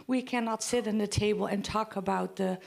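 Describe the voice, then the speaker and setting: A woman from the Netherlands in the audience, asking a heartfelt, halting question from the floor. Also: mid-range voice, fairly even intonation, gravelly. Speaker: audience member.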